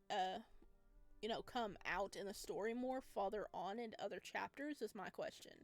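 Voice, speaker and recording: A woman's voice: medium pitch, no room sound. A woman giving casual, chatty let's play commentary as she plays a story game. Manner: chatty, informal. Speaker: gamer playing and reacting to a visual novel.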